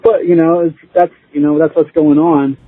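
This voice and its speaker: A man chatting casually as an interview guest, informal and rambling, with a husky voice. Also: a little high, flat delivery, normal speed, no echo. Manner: informal, rambling, chuckling easily. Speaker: interview guest, band musician. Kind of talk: casual chat. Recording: tinny phone-like line.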